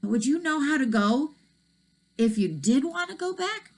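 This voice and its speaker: A woman's voice: high-pitched, big pitch swings, moderate pace, heavy background noise, pitched above the performer's own voice. A woman voicing a curious, eager young boy in a storybook.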